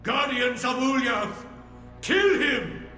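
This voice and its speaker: A man's voice: very high-pitched, animated, full character voice. A man voicing an ancient, arrogant villain in a video game, menacing and theatrical. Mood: commanding fury. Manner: slow, menacing, theatrical delivery. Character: ancient arrogant male villain serving a dark god. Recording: echoing voice under clear music.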